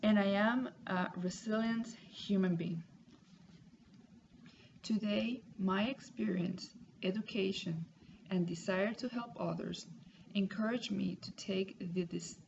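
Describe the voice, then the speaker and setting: Gravelly-voiced woman reading. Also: mid-range voice. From Puerto Rico. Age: 30s. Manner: careful, measured reading with pauses. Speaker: student. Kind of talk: reading a class assignment aloud. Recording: lo-fi home recording with noise.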